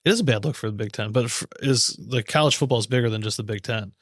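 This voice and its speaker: Laid back dude cohosting a sports podcast, medium pitch, slangy, swears some, really dry audio. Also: male voice, fast talker, no room sound. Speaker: podcast co-host. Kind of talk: casual sports chat.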